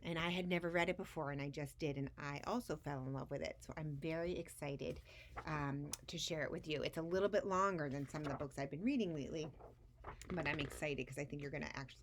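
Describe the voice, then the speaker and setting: A woman hosting a story time for young children, speaking expressively as she shares a picture book. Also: low-pitched.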